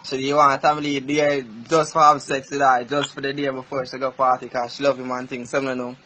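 A man's voice: mid-range voice, little pitch movement, fairly quick, bright, forceful tone, breathy edge. A Jamaican man speaking casually as a prank caller, with the sound of a phone call. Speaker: prank caller, show host.

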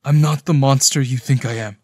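A man voicing a shaken, guilt-ridden male enemy soldier in a story, pleading and halting. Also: mid-range voice, steady tone, a little mellow, slightly rough, no room sound, studio quality, lightly acted. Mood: desperate, pleading.

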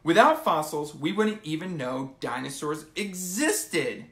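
Young guy doing storytime for kids, slightly high voice, takes his time, bit of room echo. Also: measured pace. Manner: animated, informal, addressing children. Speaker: host of children's storytime. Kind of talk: casual live storytime, reading aloud to kids. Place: small room at home, indoors. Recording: roomy home phone recording.

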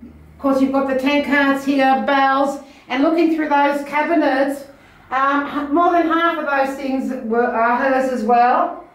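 A woman's voice: high voice, fairly even intonation, measured pace. A middle-aged Australian woman giving a chatty, enthusiastic walk-through of auction items as the presenter. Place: small indoor rooms of an auction house. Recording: very echoey bare rooms.

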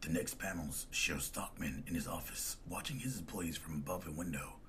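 A man's voice: low-pitched, moderate pace, fairly bright tone, slight background noise. A man reading scripted, descriptive narration for a comic dub.

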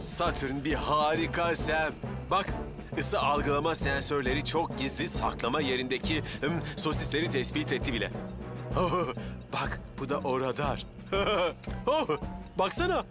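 An actor playing a clumsy, cheerful young firefighter in a cartoon dub, bumbling and full of exclamations. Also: male voice, fairly high pitch, expressive, unhurried, loud music behind the voice. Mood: proud.

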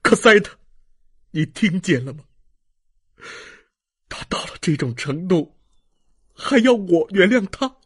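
A man voicing a passionate young gentleman in an audiobook, emphatic and declamatory, overwhelmed with grateful emotion. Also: fairly high pitch, husky, heavily acted. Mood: impassioned, remorseful.

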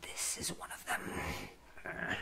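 A man in his thirties doing a soft-spoken ASMR roleplay as a fussy, eccentric doctor musing to himself, often slipping into a whisper. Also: measured pace. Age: 30s. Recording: clearly audible background noise.

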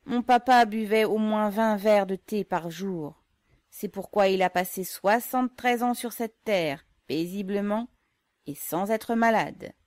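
A woman reading aloud, voicing a jovial stout young man with a hoarse voice who chatters loudly without pausing for breath. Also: mid-range voice, no room sound, pitched above the performer's own voice, close to an everyday voice.

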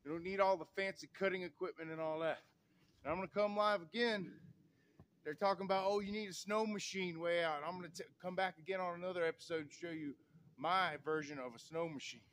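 A man's voice: high-pitched, brisk, a bit bright, a little hiss or hum. A man, talking to camera in snowy woods in a folksy, preachy way and saying "y'all" a lot. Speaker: off-grid vlogger. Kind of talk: outdoor vlog talk to camera. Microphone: camera on tripod, a few meters away.

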